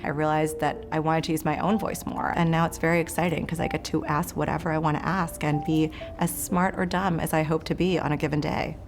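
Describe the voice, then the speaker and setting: Candid low-voiced woman podcaster. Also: little pitch movement, fairly quick, hardly any pauses, noisy background. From United States. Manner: candid, chatty with frequent "like" fillers. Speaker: journalist and podcast host. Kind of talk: personal essay talk to camera.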